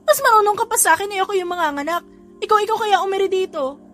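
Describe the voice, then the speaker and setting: A woman's voice: very high voice, very fast, fairly bright tone, pitched above the performer's own voice. A woman voicing a bossy, irritable pregnant vampire wife in an audio drama, scolding and demanding, with music behind her. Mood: pained, furious. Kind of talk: melodramatic audio drama acting.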